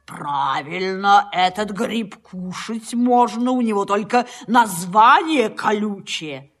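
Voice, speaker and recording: Cawing crow: forceful bright tone, slow delivery, bossy and knowing, children's audio tale. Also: female voice, mid-range voice, animated. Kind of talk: children's audio play acting.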